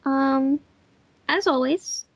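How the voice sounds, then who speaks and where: A woman's voice: high-pitched, drawn-out words, dry recording. A woman chatting casually on a dull lo-fi mic. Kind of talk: casual chat.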